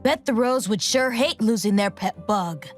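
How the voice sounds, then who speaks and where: A woman's voice: mid-range voice, animated, moderate pace, faint music behind, lightly acted. An actress as a brash, defiant young warrior woman. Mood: guarded, tough. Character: angry, rebellious young armored warrior girl with metal powers. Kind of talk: video game character voice lines.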